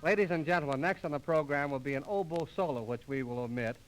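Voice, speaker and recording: A man's voice: a little high, quick pace, soft background music, rough recording. A man performing theatrical, mock-exasperated comic patter as the straight man of an old 1930s radio comedy duo. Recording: restored 1930s radio transcription disc.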